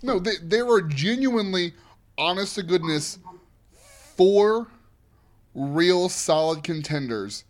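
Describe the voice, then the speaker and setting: A man's voice: sits high, animated, slow pace, pauses often. A man co-hosting a podcast in casual chat, recapping a reality show in an emphatic, opinionated way. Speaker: podcast co-host.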